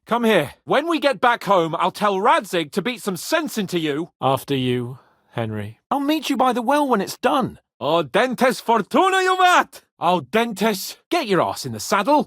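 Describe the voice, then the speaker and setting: A man playing a spoiled, cocky young nobleman in a video game, flippant and theatrical, swinging between whiny and wry, with a husky voice. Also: fairly high pitch, no room sound, clean studio sound, full character voice. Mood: earnest. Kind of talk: video game character voice acting.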